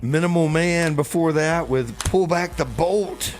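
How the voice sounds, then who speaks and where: Guy radio host, voice on the high side, casual song intros and banter, noisy recording. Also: measured pace. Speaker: radio music show host. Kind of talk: casual back-announcing and bantering on community radio. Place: radio studio.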